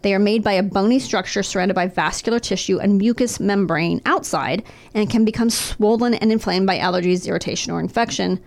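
A woman's voice: mid-range voice, a little fast, gravelly, hardly any pauses. A woman in casual chat reading medical information aloud with wry, profane asides, recovering from nose and throat surgery.

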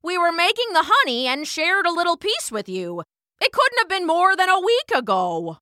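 A woman voicing a proud, indignant queen bee who speaks deliberately and emphatically in a children's story. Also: extremely high pitch, very animated, sharp tone, no echo, studio quality, voice pushed up, full character voice. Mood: insistent.

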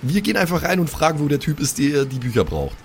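A man's voice: fairly high pitch, big pitch swings, quick pace, vocal fry, a little hiss or hum. A man improvising as a player in a tabletop role-playing game, chatting casually in colloquial slang.

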